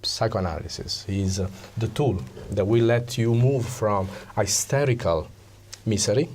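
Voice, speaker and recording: An Italian man with an Italian accent, explaining things as an interview guest in a conversational but halting way, often pausing to search for words. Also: on the low side, darkish tone, a little hiss or hum. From Italy. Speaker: interview guest, psychology coach.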